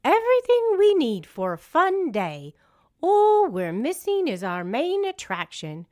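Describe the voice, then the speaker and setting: An excitable woman mayor from a children's storybook, put on by a woman narrator. She is fluttery and emphatic, raising her pitch well up and stretching words with dramatic swings. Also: a little slow, no room sound, studio quality, exaggerated voice. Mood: nervous.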